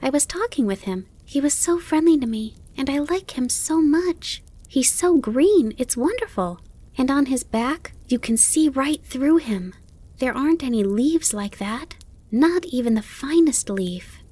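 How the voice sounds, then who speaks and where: A woman voicing an excitable, polite, innocent young male fawn in an animal storybook, eager and full of wonder. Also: sits high, a little slow, pitched above the performer's own voice. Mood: delighted. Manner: wide-eyed, eager, wondering.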